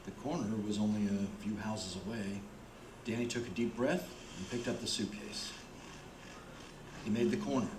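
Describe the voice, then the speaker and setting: A man's voice: lowish voice, fairly even intonation, moderate pace, long pauses, noisy background, natural voice. A man acting as the plain, grim storyteller of a radio play, reading scripted narration. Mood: grim, measured. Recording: roomy, with music behind.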